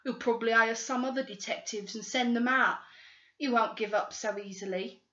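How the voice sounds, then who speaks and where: A woman's voice: on the high side, normal speed, pushed and bright. A woman voicing a weary, feverish male detective who talks wistfully. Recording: roomy, slightly dull recording.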